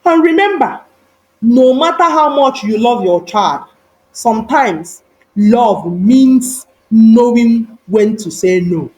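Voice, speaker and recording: Lady telling a moral folktale, kinda high voice, really slow, solemn and dramatic. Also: lively intonation, a little mellow, poor audio. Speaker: storyteller.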